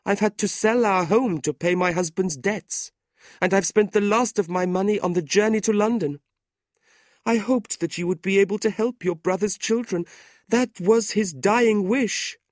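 A man's voice: normal speed, dry recording, clean studio sound, close to an everyday voice. A man voicing a sorrowful, complaining middle-aged widow, a genteel mother, in a plaintive storybook reading. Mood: pleading. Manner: plaintive, lifted high above narrator's voice.

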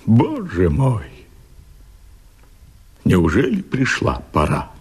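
An actor playing a doomed, anguished rebel leader, declaiming verse impassioned and drawn out in a radio play. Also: male voice, fairly low pitch, huge pitch swings, slightly rough, exaggerated voice. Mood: anguished, nostalgic. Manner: impassioned, drawn-out, tragic delivery. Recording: long reverb, music behind.

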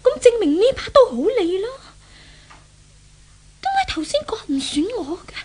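A woman's voice: extremely high pitch, wildly swooping pitch, normal speed, darkish tone, exaggerated voice. An actress playing an anguished, suicidal young rich lady haunted by a ghost, in theatrical Hong Kong Cantonese 1970s radio drama style. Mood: bewildered, distressed. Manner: theatrical soliloquy, exclaiming and lamenting. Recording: old 1970s radio drama with background music.

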